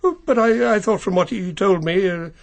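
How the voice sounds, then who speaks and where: An actor playing a proper, reserved older English family solicitor, precise and a little hesitant, in a radio mystery drama. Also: male voice, high voice, moderate pace, gravelly. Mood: hesitant, earnest.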